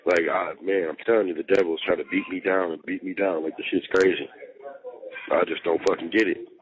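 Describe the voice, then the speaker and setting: A man's voice: deep voice, fairly even intonation, brisk, crowd noise. A man talking casually over a jail phone, venting in slangy, swear-heavy speech and repeating himself for emphasis. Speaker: jail inmate on a phone call to partner. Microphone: telephone handset. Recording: muffled, band-limited recorded jail phone call.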